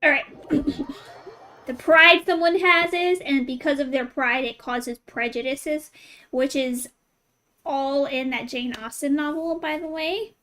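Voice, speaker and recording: A woman's voice: sits high, steady tone, slow, sharp tone, rough recording. A woman streaming a video game, chatting and giggling as she reacts. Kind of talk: casual gameplay commentary. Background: game music.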